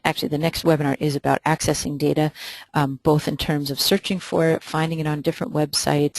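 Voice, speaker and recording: A webinar instructor answering viewer questions during a live software demo, hesitant and thinking aloud with many pauses. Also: medium pitch, vocal fry, no echo, poor audio.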